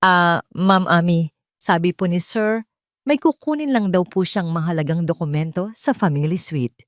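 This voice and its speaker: A woman's voice: fairly low pitch, normal speed, no room sound, studio quality, close to an everyday voice. A woman voicing a nervous event coordinator who speaks hesitantly and deferentially.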